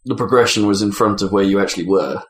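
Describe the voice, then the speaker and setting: A man's fairly deep voice rings in a very echoey room. He hosts a casual podcast chat about games, inquisitive and often thinking out loud as he talks. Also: fast. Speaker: podcast host.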